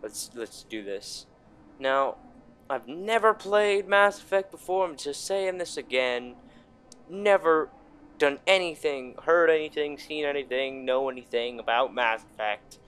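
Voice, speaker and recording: A man's voice: a little high, lively intonation, unhurried, a bit bright, smooth. Sweary man doing game commentary. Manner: sweary, rambling. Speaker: gaming video host. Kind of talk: casual let's play commentary. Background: faint game music.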